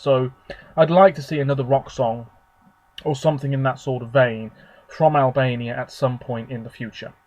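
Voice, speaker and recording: A man hosting his own video, talking casually and at length about his favourite entries, a little hoarse and husky from a sore throat. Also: medium pitch, a little mellow, low-quality recording. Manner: rambling, chatty, opinionated. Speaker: video host. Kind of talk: casual solo commentary.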